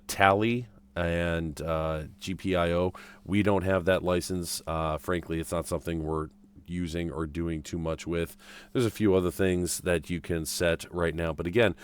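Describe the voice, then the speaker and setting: A man's voice: sits low, clean tone. A man giving an informal, explanatory walkthrough of technical equipment as an instructor. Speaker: broadcast program instructor giving a demo. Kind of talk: casual tech walkthrough.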